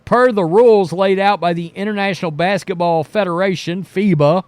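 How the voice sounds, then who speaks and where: A man's voice: high voice, normal speed, darkish tone, clear, resonant tone, dry recording. A man giving casual, opinionated sports commentary as a show host, talking in a blunt, informal way. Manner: blunt, informal, mildly profane.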